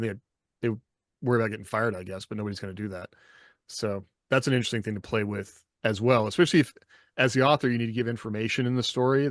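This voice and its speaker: A man's voice: fairly low pitch, no room sound, studio quality. A man casually explaining his thoughts in discussion as a podcast co-host and author.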